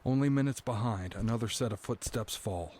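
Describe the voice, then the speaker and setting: An actor playing a bitter, doomed prisoner who narrates a first-person audio drama with brooding, dramatic phrasing. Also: male voice, fairly low pitch, fairly quick, darkish tone, slight background noise, natural voice. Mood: bitter, despairing.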